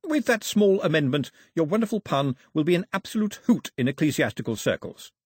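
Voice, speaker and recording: A man voicing a grave, deferential royal gentleman with formal, dryly pedantic speech in an audiobook story. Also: on the high side, big pitch swings, fairly quick, breathy edge, no echo, studio quality, voice pushed up. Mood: dryly courteous.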